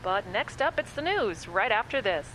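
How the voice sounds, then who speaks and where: Casting: actress as a teasing morning co-host, lively voice, music under. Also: female voice, medium pitch, moderate pace, lightly acted, poor audio. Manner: teasing, playful. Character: teasing female radio co-host Abby. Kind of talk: radio comedy.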